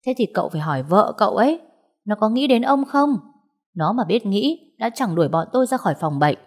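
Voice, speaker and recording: A shrill, brash middle-aged aunt, acted out by a female narrator in an audio story. She is greedy and quarrelsome, pitched up high, scolding and wailing loudly in crude, pushy phrases. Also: animated, a little fast. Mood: indignant, scolding.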